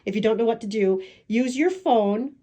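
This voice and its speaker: A woman's voice: a little high, normal speed, mellow. A woman with a stuffed-up head cold, chatting enthusiastically in a casual home video from her small apartment living room. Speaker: vlogger. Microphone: handheld phone camera, off camera.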